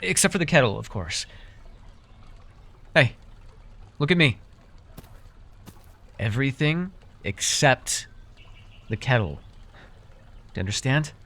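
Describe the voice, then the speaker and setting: A man's voice: mid-range voice, big pitch swings, slow, long pauses, pushed and bright, full character voice. A man playing an easily flustered, teasing but caring fae forest guide in a fantasy roleplay, with exasperated banter. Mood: flustered, bossy. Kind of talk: immersive fantasy roleplay ASMR acting.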